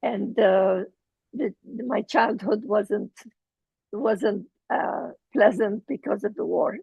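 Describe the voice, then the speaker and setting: A middle-aged woman with a Lebanese accent, telling her personal story as an interview guest, halting as she searches for words. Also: mid-range voice, fairly even intonation, vocal fry, slightly rough, no room sound, highs cut off.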